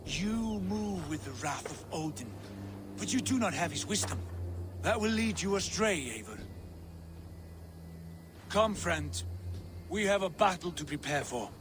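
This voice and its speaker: An actor playing a proud, scornful Viking brother, intense and imperious in a dramatic video game scene. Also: male voice, sits high, lively intonation, a little slow, long pauses, background noise. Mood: reproachful. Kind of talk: video game cutscene acting.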